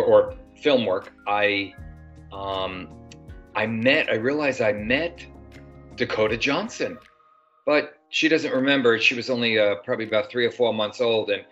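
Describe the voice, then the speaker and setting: A man's voice: lowish voice, pushed and bright. An older man, an actor guest, talking in a casual interview, rambling with many fillers and restarts. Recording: dull lo-fi sound with faint music.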